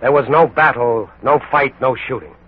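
An American man narrating a 1940s radio crime drama in a solemn, dramatic way with emphatic pauses. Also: medium pitch, slightly rough. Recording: tinny 1940s radio recording, music behind. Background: music.

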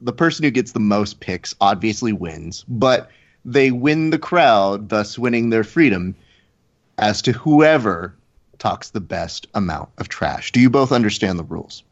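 A man's voice: on the low side, animated, measured pace. A man hosting a podcast, chatting casually and shifting into a playful, announcer-like game-show delivery. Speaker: podcast host. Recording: slightly dull lo-fi sound.

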